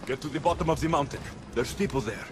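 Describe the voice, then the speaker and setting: A man's voice: on the high side, quick pace, over music, natural voice. An actor playing a confident, wry Greek mercenary man in a cinematic video game. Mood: urgent.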